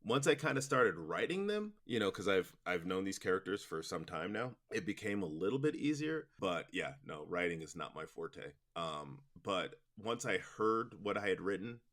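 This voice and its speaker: A man's voice: deep voice, very animated, normal speed, hardly any pauses, fairly bright tone. A man being interviewed about his audio drama work, answering casually with many fillers and restarts. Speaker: guest, audio drama producer and director.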